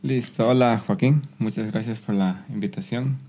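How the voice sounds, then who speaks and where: A man, giving a personal testimony in a soft, reflective way with some hesitant restarts. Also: on the low side, normal speed, slight background noise. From Peru. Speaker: guest.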